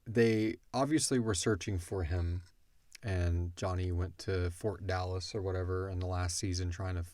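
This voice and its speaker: A man hosts a casual question and answer session in a fairly low voice. He explains things in a loose, rambling, informal way. The sound is dry, with little room on it. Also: fairly even intonation, moderate pace, a little mellow, dry recording. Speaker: podcast host. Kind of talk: casual Q and A discussion.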